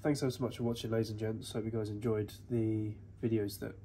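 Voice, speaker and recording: A man's voice: fairly low pitch, level tone, brisk, mellow. A man with a stuffy nose from a cold, chatting casually as a visiting vlogger and laughing between remarks. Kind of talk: casual chat. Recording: background noise.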